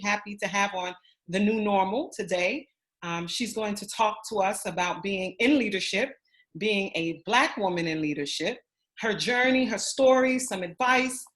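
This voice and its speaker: A woman hosts an interview at a mid pitch. She leads the talk with enthusiasm and affirms her guest often. Her delivery is upbeat and encouraging. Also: moderate pace, a bit bright. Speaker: interview host, school principal. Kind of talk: interview hosting.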